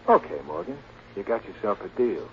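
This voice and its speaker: A cagey, greedy male private eye from 1940s radio drama. His lowish voice swings up and down like a wise guy with something to sell. The recording is muffled and noisy. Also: big pitch swings, a little fast. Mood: cagey, bargaining. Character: sly, greedy male private detective selling information.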